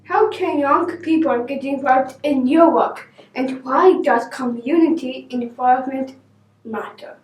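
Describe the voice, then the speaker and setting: A woman interviewer who asks prepared questions slowly and carefully. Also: sits high, a little mellow, a little hiss or hum. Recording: echoey, distant from mic.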